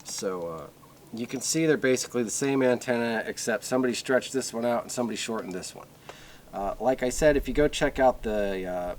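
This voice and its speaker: A man with an average-pitched voice reviews gear in a casual, unscripted way. He rambles and circles back to repeat his points for emphasis. Clear noise and small clicks run through the recording. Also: a little mellow. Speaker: product reviewer. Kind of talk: casual off-the-cuff product review.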